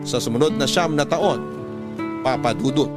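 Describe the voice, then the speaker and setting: A man's voice: mid-range voice, normal speed. A Filipino man reading a scripted first-person story as a radio drama narrator. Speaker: letter-sender narrator. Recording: music bed under the voice.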